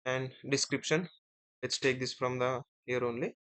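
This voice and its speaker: A man teaching as an instructor in a screen-share software tutorial, explaining step by step and often checking in with short tag words. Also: mid-range voice, fairly even intonation, normal speed, rough recording. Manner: step-by-step, often ending phrases with "right?" and "okay".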